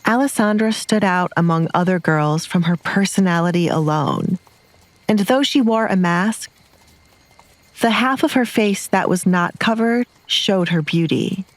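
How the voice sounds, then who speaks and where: A woman's voice: on the low side, measured pace, no room sound, low-quality recording. A woman narrator reading scripted romance fiction as a storyteller.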